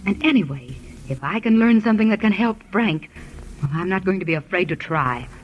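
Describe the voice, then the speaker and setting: A woman's voice: fairly low pitch, animated, fairly quick, close to an everyday voice. A woman acting a curious, determined married heroine in a vintage radio drama, with an eager, emphatic delivery. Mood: defiant, breezy. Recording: muffled, noisy old radio broadcast.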